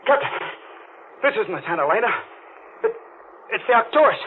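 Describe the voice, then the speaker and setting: A man acting a panicked, trapped deep-sea diver in an old-time radio thriller, halting, stammering and pleading. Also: sing-song. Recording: tinny, noisy vintage radio recording.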